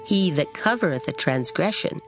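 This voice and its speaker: A woman reading King James scripture in a solemn, measured, dramatized audiobook style. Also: sits low, animated. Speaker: scripture reader. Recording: dull lo-fi sound under prominent music. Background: music.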